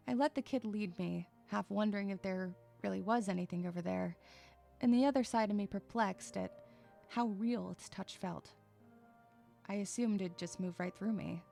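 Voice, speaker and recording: A woman playing an irritable, sarcastic, troubled young female loner, narrating a first-person audio drama with expressive, sighing delivery. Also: mid-range voice, normal speed, creaky, soft background music, lightly acted. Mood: tense, brooding.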